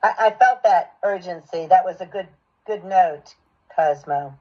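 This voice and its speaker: A woman's voice: fairly low pitch, a little slow, breathy edge. A woman giving supportive, affirming feedback as a voice acting coach, on dull lo-fi call audio.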